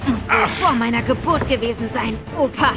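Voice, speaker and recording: A woman's voice: a little high, fairly quick, over music, natural voice. An actress playing a defiant young woman spy with a sassy, cutting manner. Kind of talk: studio audio drama acting.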